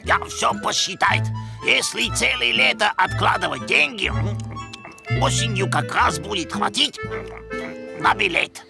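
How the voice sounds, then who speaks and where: A thrifty German-accented penguin. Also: male voice, high-pitched, animated, unhurried, vocal fry, exaggerated voice. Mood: earnest. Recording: cartoon soundtrack with loud music.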